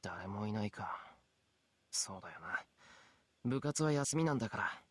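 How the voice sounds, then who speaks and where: Fast, lively young schoolboy. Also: male voice, lowish voice, breathy edge, dry recording. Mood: muttering to himself. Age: young adult. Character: earnest, blunt teenage schoolboy. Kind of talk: visual novel voice acting.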